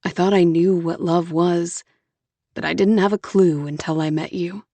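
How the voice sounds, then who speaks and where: A woman voicing a tender, earnest young man in love, with a soft, low, hushed and coaxing delivery. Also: steady tone, moderate pace, no room sound. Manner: gentle and coaxing.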